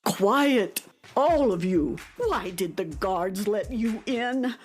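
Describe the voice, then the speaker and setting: A woman playing a bad-tempered, stubborn alien queen who commands quiet in a children's audio drama. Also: mid-range voice, animated, slow. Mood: irritated, commanding. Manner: imperious, shushing, commanding.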